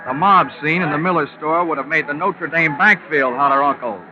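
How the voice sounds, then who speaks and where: Wry, flirtatious American private investigator played by a man, radio-drama narration, deadpan delivery, dull vintage recording. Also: a little high, brisk, very noisy, natural voice. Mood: dry, probing. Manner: deadpan, wisecracking. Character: wry, flirtatious male insurance investigator, hard-boiled wisecracker. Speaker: lead actor and narrator of a detective serial. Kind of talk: old time radio drama acting, first-person narration. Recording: muffled vintage radio recording, music behind.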